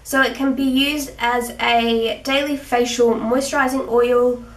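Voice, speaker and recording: A young woman with a sick, husky rough voice, chatting through her skincare routine with little laughs. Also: on the high side, fairly even intonation, measured pace. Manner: chatty, giggly, with fillers. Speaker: beauty vlogger. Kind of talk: casual skincare tutorial. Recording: echoey room, mic at a distance.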